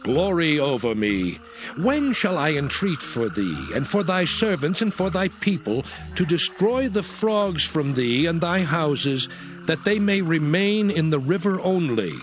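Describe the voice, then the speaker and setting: A man's voice: fairly high pitch, sing-song, a little slow, a little hiss or hum. A man playing a stern, commanding prophet, declaiming solemn warnings in a dramatized scripture recording.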